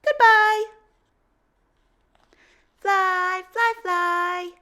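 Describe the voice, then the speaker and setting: A woman's voice: very high voice, steady tone, drawn-out words, pushed and bright, voice pushed up, full character voice. A woman voicing an eager, determined young female pig in a children's picture book, speaking in short, simple exclamations.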